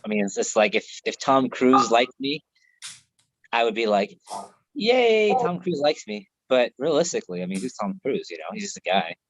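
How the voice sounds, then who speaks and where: A man's voice: mid-range voice, very animated, normal speed, dull audio. An American man chatting casually on a live video call, laid-back, laughing often and swearing freely. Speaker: host.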